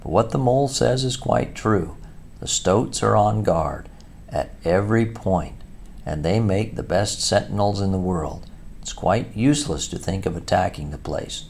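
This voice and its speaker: A man's voice: lowish voice, expressive, measured pace, gravelly, a little mellow, slight background noise. A man voicing a stern, dry-voiced old male badger in a storybook reading. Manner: severe, dry, thin-voiced, then kindly.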